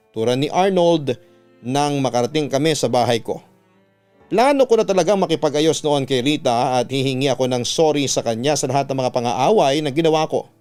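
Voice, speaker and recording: Clear, smooth male radio storyteller. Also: on the high side, a little mellow. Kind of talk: dramatic first-person story narration. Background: background music.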